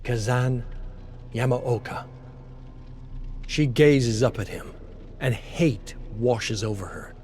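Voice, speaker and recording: A man's voice: medium pitch, slow, long pauses, a little mellow, a little hiss or hum. A man reading a scripted dramatic story as a narrator. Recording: voice over background music. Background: music.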